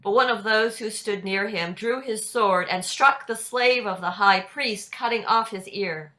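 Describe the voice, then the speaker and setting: An older woman reading scripture narration in a measured, deliberate way over a video call. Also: medium pitch, sharp tone. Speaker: narrator in a church dramatic reading. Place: indoors at home on a video call. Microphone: video call computer microphone.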